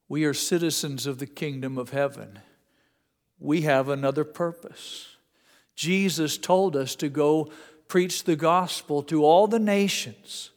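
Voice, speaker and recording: Folksy Indiana preacher in a reverberant church. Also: male voice, a little high. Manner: earnest, conversational preaching with folksy asides. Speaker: pastor. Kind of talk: sermon. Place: church sanctuary. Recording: long reverb of a church hall.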